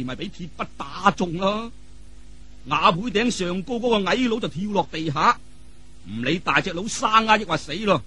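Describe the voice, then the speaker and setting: A man's voice: a little high, pushed and bright, slightly rough. A man telling a martial arts tale in Cantonese in a theatrical, colloquial traditional storytelling style, full of vivid exclamations and spoken sound effects. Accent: Hong Kong or Guangdong Cantonese.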